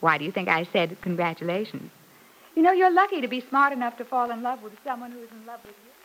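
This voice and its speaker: A woman's voice: a little high, animated, quick pace, a bit bright, poor audio. A woman playing a pert, scheming young working woman in an old-time radio soap opera, coy and insinuating. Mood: coy, encouraging. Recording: vintage radio recording.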